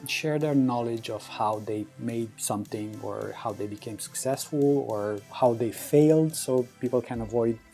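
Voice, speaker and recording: Gravelly-voiced male vlogger from Romania. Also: medium pitch, normal speed, few pauses, mellow, soft background music. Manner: emphatic, repeats phrases and tags with "right?". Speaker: vlog host. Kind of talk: casual, rambling monologue to viewers.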